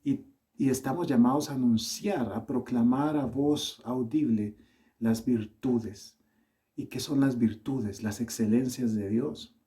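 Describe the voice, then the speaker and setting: A man from El Salvador with a Salvadoran accent softened by Colombian touches, preaching a sermon in an earnest, measured way. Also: mid-range voice, fairly even intonation.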